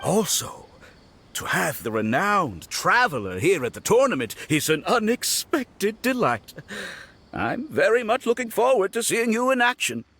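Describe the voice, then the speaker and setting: An actor playing a courteous wealthy businessman in a video game, formal, polished and smoothly deferential. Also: high voice, big pitch swings, a little slow, sharp tone, full character voice. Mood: gracious, flattering. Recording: music playing behind the voice.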